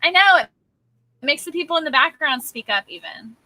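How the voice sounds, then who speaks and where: Brief: woman, lively up-and-down voice, very bright tone, giggly, frank co-host. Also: high voice, a little fast, no room sound, poor audio. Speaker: livestream co-host. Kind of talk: casual late-night chat.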